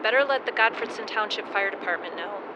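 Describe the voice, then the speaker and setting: A woman playing an excited radio journalist phoning in a live report from the roadside in a radio drama. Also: mid-range voice, fast, close to an everyday voice. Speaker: on-scene radio caller. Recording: noisy outdoor phone call with vehicle ambience.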